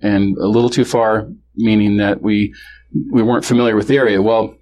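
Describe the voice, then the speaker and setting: A man being interviewed, recalling childhood experiences candidly, halting with many fillers and restarts. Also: on the low side, flat delivery, darkish tone, rough recording. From grew up bilingual in a Norwegian family. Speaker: interview guest. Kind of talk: candid personal recollection.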